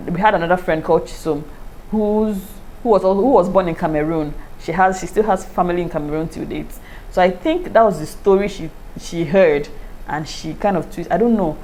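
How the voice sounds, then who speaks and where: A woman with a Nigerian accent, chatting casually to her viewers in a lively way and often breaking into laughter. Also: on the low side, slight background noise, low-quality recording. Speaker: YouTuber. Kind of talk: casual review chat to camera.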